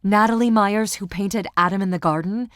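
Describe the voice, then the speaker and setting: A woman's voice: mid-range voice, normal speed, dry recording, clean studio sound, voice pushed up, close to an everyday voice. A woman voicing a flustered, gushing art teacher, nervous and apologetic, in an audiobook novel. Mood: astonished. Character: excited, flustered older female art teacher, apologetic fan.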